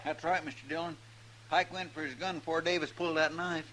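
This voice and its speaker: A man's voice: fairly high pitch, steady tone, brisk, bright, forceful tone, slight background noise. An American man playing a good-natured, hapless cowboy sidekick in an old-time radio western, with a folksy, hesitant rural drawl on a vintage recording. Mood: concerned.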